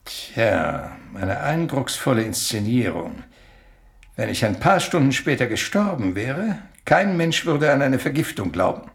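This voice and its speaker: Creaky, animated male professor. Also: mid-range voice. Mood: dryly dramatic. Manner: hesitant, ponderous, deliberate delivery. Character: eccentric, theatrical male professor, hunted and evasive. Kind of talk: German radio drama acting.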